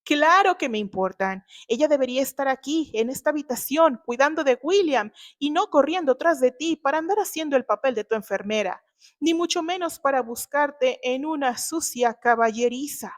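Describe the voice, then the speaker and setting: Woman acting brash, spiteful young man, high-pitched. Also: animated, normal speed. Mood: resentful. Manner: accusing, stammering when cornered. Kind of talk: dramatized audiobook reading.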